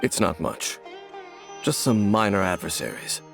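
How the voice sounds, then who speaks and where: An actor playing a stoic, secretive male wanderer in a game dub, speaking in a formal, measured, deadpan way with a gravelly voice. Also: soft background music, full character voice. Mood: calm, dismissive. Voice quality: low, gravelly. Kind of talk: English game dub voice acting.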